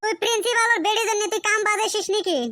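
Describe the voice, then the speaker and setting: A man voicing a brash, lovesick village college boy in a comic cartoon, with a Lower Assam rural accent and a pitched-up effect. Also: steady tone, rapid-fire, bright, forceful tone. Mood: indignant. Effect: pitched-up chipmunk-like voice. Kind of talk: comic meme cartoon dialogue.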